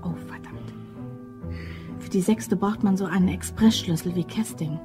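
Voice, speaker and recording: A woman's voice: fairly low pitch, moderate pace, music in the background, lightly acted. An actress playing a cool, bossy office woman who masterminds a robbery, clipped and businesslike, in a German crime radio play. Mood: commanding. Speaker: actor.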